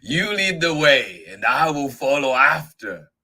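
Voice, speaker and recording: A man's voice: on the high side, sing-song, unhurried, sharp tone, voice pushed up, exaggerated voice. A man voicing a big, scary but gullible monster in a children's storybook, speaking in a savoring, emphatic way. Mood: amused, doubtful. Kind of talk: animated children's storybook read-aloud.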